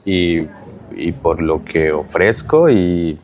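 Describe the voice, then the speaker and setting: A man in his thirties from Colombia with a strong Colombian accent, chatting casually as an interview guest, with many pauses and restarts. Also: low-pitched, darkish tone. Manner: hesitant, many fillers and restarts. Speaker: guest, digital transformation consultant.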